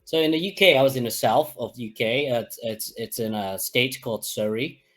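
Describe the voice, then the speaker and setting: A man from Hong Kong who grew up in the UK, a guest telling his story in a relaxed, rambling way. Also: medium pitch, normal speed. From Hong Kong, raised in the UK. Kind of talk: casual interview chat.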